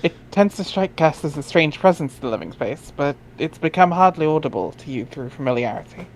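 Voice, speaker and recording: Higher-voiced man reading narration aloud. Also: expressive, brisk, a little hiss or hum, low-quality recording. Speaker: reader in a group dramatic reading.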